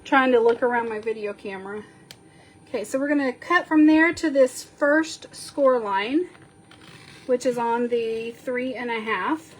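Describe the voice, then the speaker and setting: A woman sniffling a little as she slowly talks through a card-making tutorial, counting measurements aloud while she works. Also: fairly high pitch, a little hiss or hum, rough recording. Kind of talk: step-by-step crafting tutorial.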